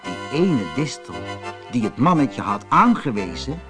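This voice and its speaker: A man narrating a children's fairy tale as a scripted audiobook, with expressive, dramatic delivery. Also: mid-range voice, measured pace, music in the background. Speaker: audiobook storyteller.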